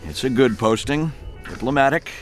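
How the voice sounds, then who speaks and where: An actor playing a stern, unsentimental older intelligence officer in a radio drama, curt and measured in his authority. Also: medium pitch, soft background music, natural voice. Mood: curt, dismissive.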